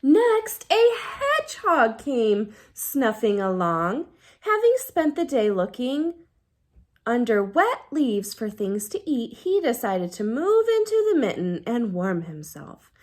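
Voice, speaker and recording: Lady doing storytime for little kids, really animated pitch swings, takes it slow. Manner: expressive, drops to hushed excited asides with audible gasps. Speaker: teacher reading a storybook to children. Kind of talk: animated read-aloud storytime with asides to young listeners.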